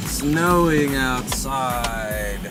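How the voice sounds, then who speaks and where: A man vlogging casually on location, speaking off the cuff with halting pauses. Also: medium pitch, over loud music.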